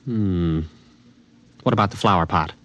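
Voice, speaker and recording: A man's voice: sits low, unhurried, no room sound. A man playing a wry, skeptical college student who also narrates, in a 1950s radio drama. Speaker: narrator and lead. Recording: studio with clear background music.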